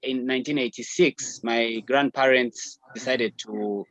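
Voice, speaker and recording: A man in his thirties from Zambia, a musician talking reflectively in a remote interview, filling pauses with "you know". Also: medium pitch, fairly even intonation, fairly bright tone, dry recording, low-quality recording. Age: 30s. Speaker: musician guest. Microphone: video or phone call.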